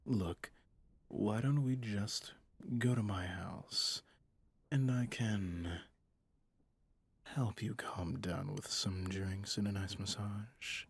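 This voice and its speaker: A man voicing a possessive, smug mafia boss and smitten lover in an intimate ASMR roleplay, teasing and dominant, with a gravelly, breathy, often half-whispered voice. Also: lowish voice, fairly even intonation, dry recording, heavily acted. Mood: teasing, seductive. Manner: slow, teasing, dominant, pet names.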